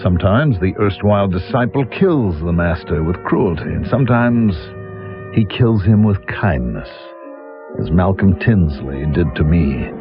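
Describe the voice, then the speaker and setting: Deep-voiced, haughty, bitter novelist. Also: male voice, lively intonation, measured pace, music in the background. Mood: wry, bitter. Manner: haughty, scornful, literary diction. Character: bitter, proud, aging novelist narrating his own downfall. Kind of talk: old-time radio mystery acting. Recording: dull lo-fi old radio broadcast.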